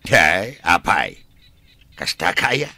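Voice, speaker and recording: An actor playing an emphatic, remonstrating husband in a radio drama, with a Filipino accent. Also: male voice, deep voice, sing-song, drawn-out words, pushed and bright, no echo, exaggerated voice. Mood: emphatic, disapproving.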